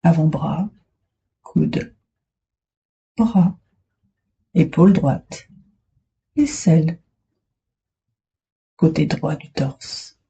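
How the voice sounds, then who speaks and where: Casting: female meditation guide, very dark, soft-edged husky tone, soothing delivery with long pauses, slightly muffled recording. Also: low-pitched. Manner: soothing, unhurried, short phrases with long pauses. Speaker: yoga nidra instructor. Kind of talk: guided meditation, relaxation instructions.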